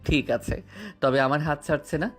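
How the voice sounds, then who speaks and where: A man's voice: fairly high pitch, fairly even intonation, moderate pace, fairly bright tone. A male voice actor playing a playful, devoted young husband in an audio romance drama. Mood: tender. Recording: music bed underneath.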